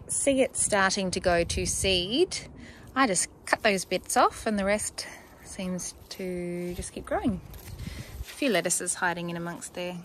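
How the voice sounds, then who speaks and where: Australian woman, 30s, casual garden vlogger, easygoing, mid-pitched, phone held outdoors with faint noise. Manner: chatty and relaxed, with fillers and small laughs. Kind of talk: casual walk-through garden tour. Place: backyard vegetable garden, outdoors.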